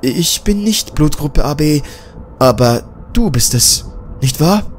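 A man's voice: a little high, lively intonation, slow pace, slight background noise, voice pushed up. A man voicing a frightened young woman journalist who speaks urgently and anxiously. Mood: alarmed.

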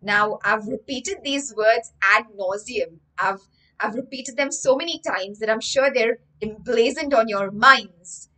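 A woman's voice: mid-range voice, a bit bright, rough recording. A young woman tells a story to children as an English teacher, emphatically repeating words with synonyms. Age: young adult. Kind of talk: storytelling vocabulary lesson for children. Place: indoor room with bookshelves.

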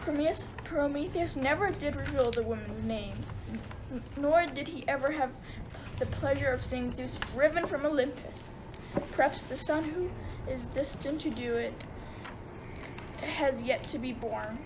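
A woman's voice: high voice, measured pace, noisy background. A woman narrating a radio play as a scripted storyteller.

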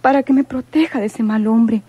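Very breathy, gentle Mexican sweetheart. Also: female voice, a little high, steady tone, normal speed, dull audio. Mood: frightened, secretive. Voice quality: breathy, soft. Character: gentle, anxious sweetheart of a rural detective. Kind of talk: radio drama acting.

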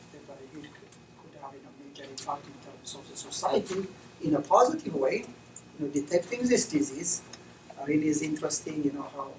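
A middle-aged man from Ethiopia, a veterinarian, giving measured, hesitant comments as a discussant at an academic conference. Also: medium pitch, recorded from a distance. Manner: measured, hesitant, many fillers. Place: lecture room. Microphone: distant camera mic. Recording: echoey room recorded from afar, noisy.

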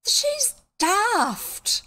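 A woman's voice: very high voice, big pitch swings, very slow, sharp tone, pitched above the performer's own voice, heavily acted. A woman voicing a melodramatic, swooning young female cousin in a storybook, theatrical and overwrought. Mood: overwrought despair.

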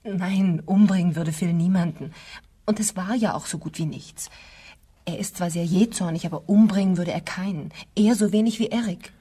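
Anxious, defensive wife under questioning, radio crime drama. Mellow, breathy-husky, evasive. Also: female voice, lowish voice, normal speed. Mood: anxious, confessing. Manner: guarded, evasive, short answers. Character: defensive, anxious married woman hiding an affair. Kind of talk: German crime radio play acting.

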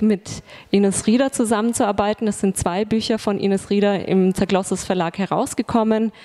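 A woman in her forties, an artist and publisher speaking in a measured, articulate way about her work into a handheld mic in a gallery. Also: mid-range voice, a little mellow. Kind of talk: talking about her artwork. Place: gallery room.

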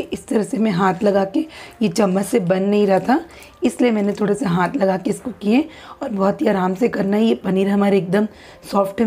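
A woman talking through a recipe step by step in her home kitchen, in an unhurried, repetitive instructional way. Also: medium pitch, mellow, husky. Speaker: cooking channel host. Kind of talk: step-by-step cooking demonstration. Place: home kitchen at a gas stove.

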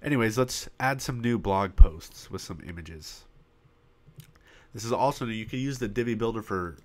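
A man's voice: lowish voice, a little mellow. A man with a voice he is losing walks through building a website step by step, in a casual screen-recording tutorial, coughing now and then into a popping desktop mic. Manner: casual step-by-step narration with frequent pauses. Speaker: web design tutorial host. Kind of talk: screen-recorded software walkthrough.

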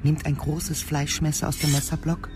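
A man's voice: fairly high pitch, flat delivery, normal speed, over music. A man narrating a scripted crime drama in a sober, matter-of-fact manner.

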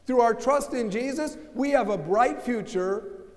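A man's voice: very high voice, a little slow, darkish tone. An older American man preaching a sermon from the pulpit, emphatic and asking rhetorical questions. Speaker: pastor. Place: large church sanctuary. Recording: long church reverb.

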